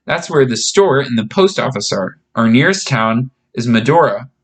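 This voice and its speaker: A man's voice: medium pitch, lively intonation, moderate pace, close to an everyday voice. A man voicing a friendly, reassuring young girl in a storybook reading, chatting and explaining things simply. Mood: cheerful.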